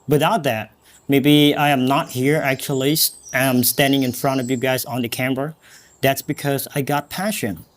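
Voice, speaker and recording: Earnest Cambodian-accented man. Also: medium pitch. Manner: earnest, encouraging, repeats phrases for emphasis. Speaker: English teacher and podcast host. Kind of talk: motivational talk to learners.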